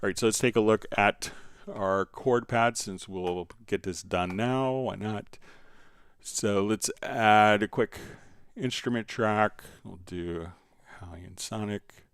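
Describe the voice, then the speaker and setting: A man hosting a live stream, casually explaining software step by step while he demonstrates it. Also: fairly low pitch, takes it slowly, smooth, no room sound, studio quality.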